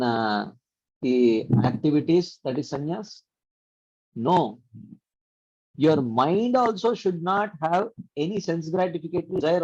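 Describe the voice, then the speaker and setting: A man's voice: on the high side, a little mellow, husky, no room sound. A man teaching a scripture class online, explaining verses at length with many fillers and chanting short verses between his explanations. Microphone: computer mic on a video call. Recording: dry, dull lo-fi online audio.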